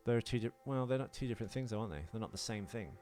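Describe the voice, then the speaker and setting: A man's voice: mid-range voice, very fast, darkish tone, faint music behind. A man with a British accent co-hosting a casual comedic podcast, rambling along in a jokey, self-deprecating way. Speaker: podcast co-host.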